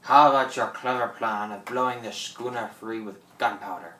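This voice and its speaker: An actor playing a boastful, menacing old pirate in a theatrical radio play. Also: male voice, lowish voice, steady tone, moderate pace, a bit bright, natural voice. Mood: indignant. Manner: theatrical, gloating, cackling. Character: boastful old French pirate, greedy and menacing, newly revived. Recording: roomy, distant microphone.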